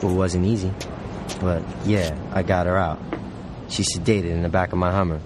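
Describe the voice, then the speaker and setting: An actor playing a terse, defensive survivor making a shady deal in an audio drama. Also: male voice, low voice, flat delivery, normal speed, close to an everyday voice. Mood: guarded. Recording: noisy, with vehicle ambience.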